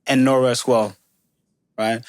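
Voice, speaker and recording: Friendly podcast host, dry studio sound. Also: male voice, medium pitch, flat delivery, measured pace, clear, resonant tone, dry recording. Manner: affirming, frequent "yeah, yeah, yeah". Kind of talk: casual podcast hosting.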